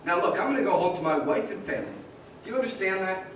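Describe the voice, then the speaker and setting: A man's voice: high voice, big pitch swings, quick pace, fairly bright tone. A man acting a frantic, bewildered family man in a stage radio play, agitated and pleading. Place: live stage in a large echoing hall. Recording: echoey hall from far off, noisy.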